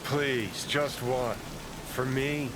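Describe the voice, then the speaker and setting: A man's voice: medium pitch, fairly even intonation, drawn-out words. An actor playing a cynical, pushy male rebel in a video game, goading and sardonic. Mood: impatient, needling. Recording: rain and street noise behind.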